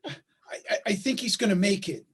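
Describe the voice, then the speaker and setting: An actor playing an anxious father in a staged play reading, with a husky voice and halting, urgent reassurance. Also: mid-range voice, animated. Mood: moved. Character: anxious father keeping watch at son's bedside. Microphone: video call microphone.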